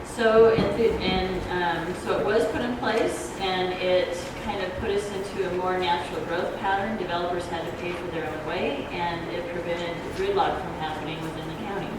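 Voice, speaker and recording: Soft-spoken woman in a very echoey hall. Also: on the low side, steady tone. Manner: halting, hesitant, loses her thread. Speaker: ballot measure proponent, debate speaker. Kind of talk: informal explanatory forum speech. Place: community grange hall. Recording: echoey hall, distant noisy microphone.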